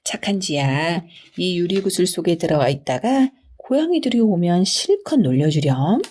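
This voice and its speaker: Woman as a kindly, mellow-voiced farmer. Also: lowish voice, sing-song, moderate pace. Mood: kindly concern. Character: kindly male farmer talking to a small mouse.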